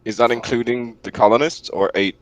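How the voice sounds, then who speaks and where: A man's voice: medium pitch, a little fast, dry recording, low-quality recording. A man chatting casually as a player in a tabletop roleplaying game.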